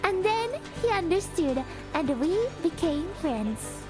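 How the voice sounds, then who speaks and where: An excitable young wolf girl with a tiny, very high voice. She boasts playfully in swooping, childlike tones, as a visual novel heroine over prominent music. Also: slow, exaggerated voice. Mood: boastful, storytelling. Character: cheerful boastful young wolf-girl village guardian and huntress. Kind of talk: animated visual novel voice acting.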